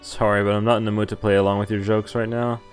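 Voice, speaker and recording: A man whose native language is Dutch speaks in a slightly low voice as a game streamer. He reads story text aloud and drops in casual remarks, stumbling on the odd word. Faint music plays behind him. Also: little pitch movement, quick pace. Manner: stumbles over words while reading, comments to himself. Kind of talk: reading a visual novel aloud with casual live reactions.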